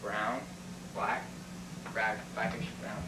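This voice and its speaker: A man's voice: fairly low pitch, level tone, takes it slowly. A man playing a nervous, evasive 48-year-old in an amateur stage comedy, stammering, hesitating and pleading. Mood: flustered, indignant. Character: flustered, evasive 48-year-old man dodging an army physical. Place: classroom used as a small stage. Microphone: far camera microphone. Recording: distant, echoey, faintly noisy VHS room recording.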